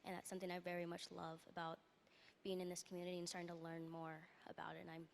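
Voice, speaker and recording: A woman's voice: on the low side, monotone, quick pace, creaky. A young woman in her teens sharing personal experience informally as a student panelist. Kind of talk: panel discussion, personal storytelling. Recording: noisy room, faint background noise.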